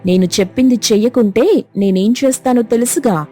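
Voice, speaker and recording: A woman's voice: fairly high pitch, a little fast, mellow, faint music behind, voice pushed up, close to an everyday voice. A woman voicing a strict, vindictive middle-aged schoolteacher who scolds and accuses her students. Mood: threatening. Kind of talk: cartoon voice acting.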